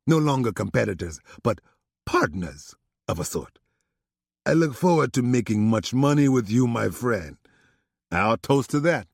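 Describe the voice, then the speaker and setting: A man voicing a raspy, smooth-talking male crime boss with a thick Mexican Spanish accent. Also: on the low side, no room sound, studio quality, heavily acted.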